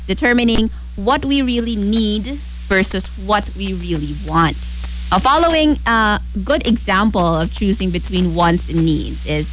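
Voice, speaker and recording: A young woman radio host with a medium-pitched voice. She talks casually and conversationally, addressing listeners directly as she gives advice. There is a light hum and hiss on the recording. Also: rough recording.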